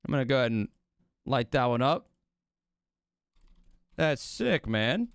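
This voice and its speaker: A man's voice: medium pitch, very animated, a little slow, clean tone, no echo, studio quality. A man livestreaming casually to his viewers as host, talking in hyped, slangy bro speak with swearing, his voice strained from overuse. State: voice strained, losing it. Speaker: live streamer hosting a watch party.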